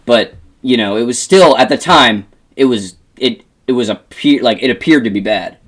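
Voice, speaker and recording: A man's voice: mid-range voice, lively intonation, moderate pace. A young American man explaining things in casual podcast chat as one of the co-hosts. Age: young adult. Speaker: podcast co-host. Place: in person with co-hosts.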